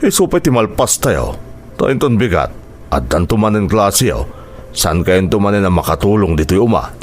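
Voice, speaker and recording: Low-pitched man as farmer dad, animated intonation, firm yet affectionate, radio drama. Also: normal speed, slight background noise. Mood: firm, fatherly. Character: hardworking farmer father, firm but affectionate. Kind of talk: Ilocano radio drama acting.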